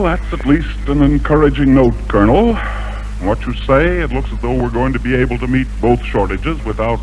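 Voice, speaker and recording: A man hosting a 1940s radio program, speaking in a formal, measured, scripted style. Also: mid-range voice, a little hiss or hum. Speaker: radio host and announcer. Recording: dull vintage 1940s radio recording.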